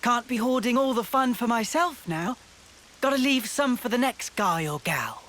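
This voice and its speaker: An actor playing a mocking young turncoat villain in a video game cutscene, with sneering, theatrical delivery and drawn-out words. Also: male voice, extremely high pitch, animated, bright, forceful tone, slight background noise, exaggerated voice. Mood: smug, playful. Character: gloating, mocking young male villain, turncoat former comrade.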